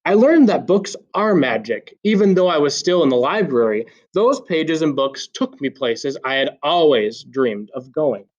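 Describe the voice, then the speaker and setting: A man reading a children's story aloud like a teacher, with expressive, deliberate emphasis. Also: on the high side. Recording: slightly dull, lo-fi sound.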